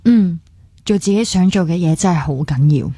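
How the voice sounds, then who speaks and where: A woman's voice: on the low side, animated, normal speed, mellow, breathy edge, dry recording. A woman with a Hong Kong Cantonese accent acting a caring young hospital worker in a radio drama, speaking earnestly and conversationally with some English words mixed in. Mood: reflective, concerned. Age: young adult.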